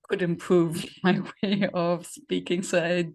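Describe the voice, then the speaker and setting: A woman's voice: on the low side, flat delivery, slow, darkish tone. A woman with a German accent, a native German speaker, chatting reflectively as an interview host and searching for her words. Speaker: podcast host and facilitator. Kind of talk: conversational interview chat.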